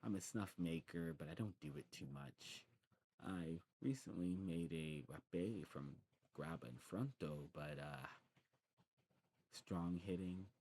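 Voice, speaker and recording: Very deep-voiced man, rambling shop chat. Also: measured pace, a little mellow. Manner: rambling, informal, self-correcting explanations. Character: friendly demon snuff shop keeper, knowledgeable and enthusiastic. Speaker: ASMR performer playing a snuff shopkeeper. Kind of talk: ASMR shop roleplay, casual recommending chat.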